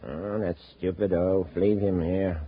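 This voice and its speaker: A brutal space-thief boss on a dull, old 1950s radio recording. He barks orders with open menace in a husky voice. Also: deep voice, steady tone, measured pace, no echo. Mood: menacing. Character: brutal, bossy space-thief gang leader. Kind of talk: 1950s radio drama acting.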